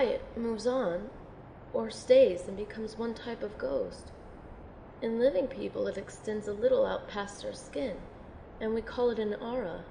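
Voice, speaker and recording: Woman acting a creaky-voiced wry young soldier. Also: fairly high pitch, warm tone, reverberant, a little hiss or hum, poor audio. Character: wounded young female soldier healer, informal, wry. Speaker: voice actor in an amateur audio drama. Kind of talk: acted dialogue in an audio drama.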